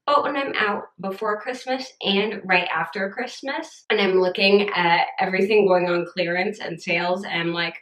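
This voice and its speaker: A young woman vlogging casually from home, chatty and upbeat, her voice a little scratchy and rough. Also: mid-range voice, moderate pace, hardly any pauses, a bit bright, echoey room. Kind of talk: casual haul vlog chat.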